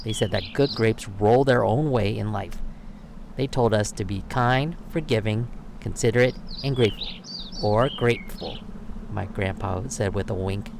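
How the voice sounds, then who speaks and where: A man reading a children's picture book aloud as storyteller, expressive and given to dramatic pauses. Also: lowish voice, vocal fry, noisy background.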